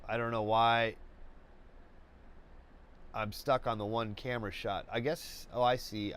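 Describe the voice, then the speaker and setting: A man hosting a live painting webcast, congested and stuffy, talking in a slow, rambling way with many restarts and fillers. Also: fairly low pitch, slight background noise. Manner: halting, many restarts and fillers, laid-back. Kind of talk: rambling live-stream chat.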